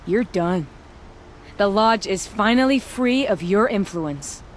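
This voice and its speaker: Noble huntress: mid-range, proud, steely, formal, faint ambient noise. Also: female voice, medium pitch, animated, slow pace. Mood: cold, triumphant.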